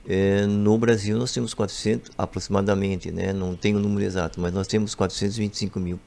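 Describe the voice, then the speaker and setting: A Brazilian man with a very low, gravelly voice, speaking as a guest author on a radio program. He explains patiently and keeps repeating that things are important. He sounds like he is talking in a studio. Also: level tone, fast, a little mellow. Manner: explanatory, repeats emphatic phrases. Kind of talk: radio interview answers.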